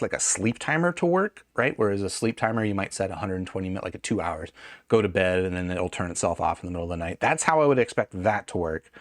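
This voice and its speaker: A man's voice: lowish voice, no echo, studio quality. A man giving a casual hands-on product review, rambling and conversational as he thinks aloud. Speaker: gadget reviewer.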